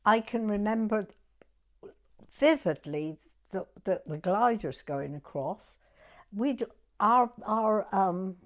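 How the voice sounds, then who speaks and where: A woman in her nineties from Dorset with a southern English accent, reminiscing in an interview in a hesitant, halting way and repeating words. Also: mid-range voice. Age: 90s. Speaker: oral history interviewee.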